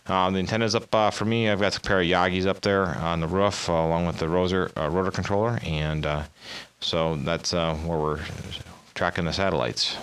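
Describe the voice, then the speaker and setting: Deep-voiced man giving a software demo. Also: steady tone, a little fast, clean tone. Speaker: amateur radio operator presenting his logging software. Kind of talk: casual software demo walkthrough.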